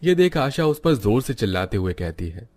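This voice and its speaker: A man's voice: medium pitch, sing-song, moderate pace, a little mellow. A man narrating a scripted cartoon story as its storyteller.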